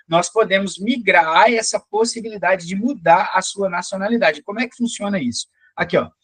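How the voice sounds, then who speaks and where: A man's voice: high-pitched, a bit bright, dry recording. Brazilian man teaching, with a dry, close sound. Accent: Brazilian, Minas Gerais. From Belo Horizonte, Brazil. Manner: didactic, frequent check-in questions. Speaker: teacher, police or military sergeant. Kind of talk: explanatory exam-prep lecture.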